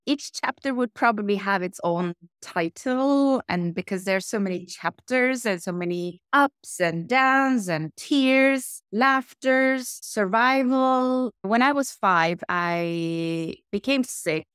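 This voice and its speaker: A middle-aged woman from Iran who grew up in Norway, answering interview questions in a lively, reflective way and listing things in runs. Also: medium pitch, slow pace, few pauses, smooth, dry recording, studio quality. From Iran, raised in Norway. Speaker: interview guest, tech executive.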